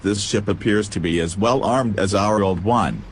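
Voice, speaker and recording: Man voicing a deadpan, low-voiced formal droid. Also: moderate pace, slight background noise, lightly acted. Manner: deadpan, precise, formal phrasing. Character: male command droid, dry, formal and literal-minded. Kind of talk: scripted audio drama acting.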